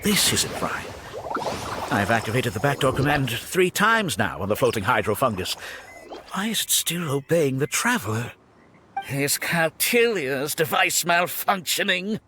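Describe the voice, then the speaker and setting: An actor playing a smug, power-hungry villain in an anime video game, with theatrical, drawn-out menace. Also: male voice, mid-range voice, big pitch swings, sharp tone, slight background noise. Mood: alarmed frustrated.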